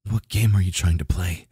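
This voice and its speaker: A man voicing a flustered, brooding but tender young warrior in a romantic roleplay ASMR, husky and halting, close to the microphone. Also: sits low, mellow, no echo, full character voice. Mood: flustered, wary. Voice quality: husky, breathy. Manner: halting, stammering, sighing.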